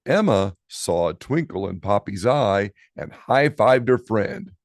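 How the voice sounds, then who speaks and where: A man reading a children's storybook aloud expressively, with dramatic pauses and drawn-out key words. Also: fairly low pitch, lively intonation, dry recording, studio quality. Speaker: storybook reader.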